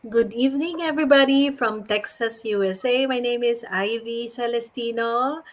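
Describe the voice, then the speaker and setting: A woman's voice: a little high, moderate pace. A middle-aged woman with a Filipino accent introducing herself on a live stream, friendly and matter-of-fact. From Philippines. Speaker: panel guest, nurse.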